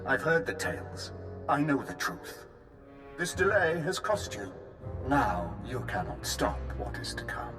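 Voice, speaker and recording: An actor playing a solemn, zealous cultist soldier devoted to a dark emperor in a video game. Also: male voice, lowish voice. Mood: defiant certainty. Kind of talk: scripted video game acting. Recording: roomy echo, music behind.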